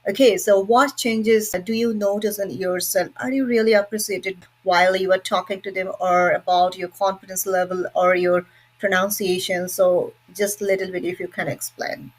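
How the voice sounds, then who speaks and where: Woman, pronunciation teacher, encouraging interviewer, mid pitch. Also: steady tone, normal speed, poor audio. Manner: encouraging, explanatory, says "so" and "like" often.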